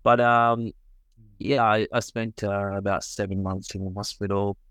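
Deep-voiced man, earnest and halting. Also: steady tone, a bit bright, clear, resonant tone, no echo, clean studio sound. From Sri Lanka. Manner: earnest, with frequent pauses and fillers. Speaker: guest, medical doctor. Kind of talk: reflective interview answers.

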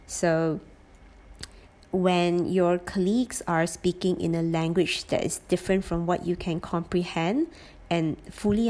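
Woman, late twenties, lower pitch, casual reflective talk, thoughtful with filler-heavy pauses. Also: darkish tone. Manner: thoughtful, with frequent um fillers and restarts. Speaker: former auditor sharing her career experience.

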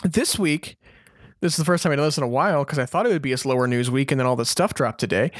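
A man of medium pitch hosts a casual podcast. He speaks informally and a bit haltingly, in a dry recording with very little room sound. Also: no echo. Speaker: podcast host. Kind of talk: casual chat, hosting listener questions.

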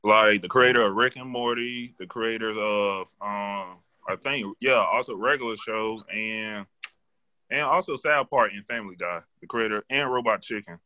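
A man with a deep voice chatting casually, swearing and using lots of fillers. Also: normal speed, sharp tone, dry recording. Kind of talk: casual chat.

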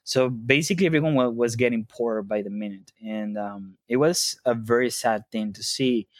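A man's voice: mid-range voice, dry recording. Young man from Venezuela, interview guest, hesitant delivery full of ums, dry close sound. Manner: halting, many fillers and restarts. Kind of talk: casual interview talk.

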